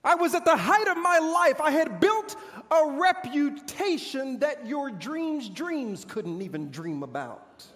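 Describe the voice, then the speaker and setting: A proud, bitter military commander of old , played by a man declaims to an audience in a theatrical monologue. His delivery is pompous and very animated, broken by dramatic pauses. The voice rings through a big hall with long reverb. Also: extremely high pitch, heavily acted. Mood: boastful. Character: proud, boastful male army commander of ancient Israel's era, bitter. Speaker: pastor performing a monologue. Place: large church hall stage.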